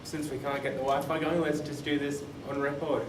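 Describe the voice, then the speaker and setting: A man's voice: on the high side, little pitch movement, brisk. A man hosting and moderating a panel podcast recorded live at a conference. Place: conference room, live event. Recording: echoey room, distant mic, faint noise.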